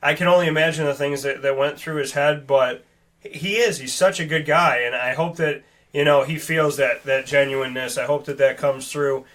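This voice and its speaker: A young adult man hosting a podcast, talking in an earnest, rambling and animated way. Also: a little high, moderate pace. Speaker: podcast host. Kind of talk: casual chat.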